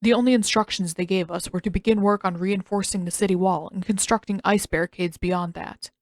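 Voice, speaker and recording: A woman's voice: mid-range voice, fairly even intonation, brisk, no room sound, natural voice. Woman reading as a matter-of-fact young male messenger. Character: bulky young male messenger, plain and dutiful. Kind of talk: acted audiobook dialogue.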